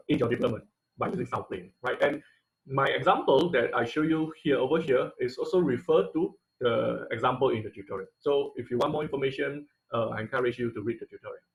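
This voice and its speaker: A man's voice: mid-range voice, low-quality recording. Male instructor, patient explainer, filler-heavy, computer mic. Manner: explanatory, frequent "uh" and "right?" tag questions. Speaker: robotics instructor. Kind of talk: online class lecture over slides.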